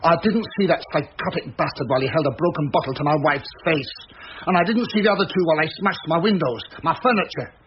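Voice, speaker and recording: An actor playing an embittered, defiant pub landlord in a British radio drama, blunt and sarcastic. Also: male voice, fairly high pitch, fast talker, natural voice. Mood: angry, weary. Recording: muffled radio recording, faint noise.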